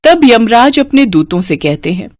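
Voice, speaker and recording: A woman's voice: on the high side, sing-song, normal speed, a little hiss or hum. A woman narrating a devotional story in a scripted storytelling style.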